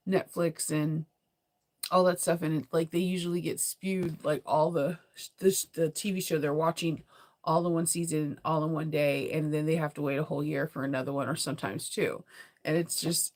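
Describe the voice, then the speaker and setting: A middle-aged American woman chats informally to her livestream viewers. Her voice sits a little low and sounds congested from a cold, unsteady and prone to dropping out. She rambles in a sleepy, giggly, conversational way. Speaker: sewing vlogger. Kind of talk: casual livestream chat.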